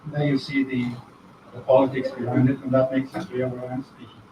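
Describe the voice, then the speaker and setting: A man giving an academic talk, speaking reflectively and with irony, pausing often and restarting phrases. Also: mid-range voice, flat delivery, noisy background. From former British colony. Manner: reflective, ironic, many pauses and restarts. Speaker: guest lecturer. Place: lecture hall. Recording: echoey hall, distant mic, dull.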